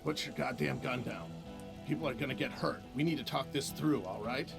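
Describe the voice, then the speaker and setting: A man's voice: mid-range voice, moderate pace, creaky, music in the background, close to an everyday voice. An actor playing a conciliatory male working man who urgently tries to placate others.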